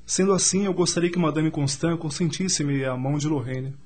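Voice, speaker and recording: An actor playing an earnest, bashful young suitor in a radio soap opera, formal and a little hesitant. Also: male voice, on the high side, a little fast, a little mellow, natural voice.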